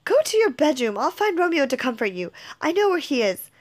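A woman's voice: high voice, sing-song, brisk. Woman doing a wailing old nurse. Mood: reassuring. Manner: loud lamenting. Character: old female nurse, loyal, wailing, fussy.

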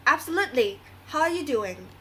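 A woman's voice: high voice, sing-song, fairly quick, a bit bright. A woman playing an earnest, moralizing talk show host in a scripted radio drama, reading didactically.

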